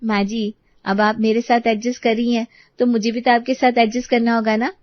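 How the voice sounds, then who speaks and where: Woman acting an insistent, high-voiced young daughter-in-law. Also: flat delivery, fairly quick, no room sound, muffled recording, natural voice. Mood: affectionate. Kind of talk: animated moral story dialogue.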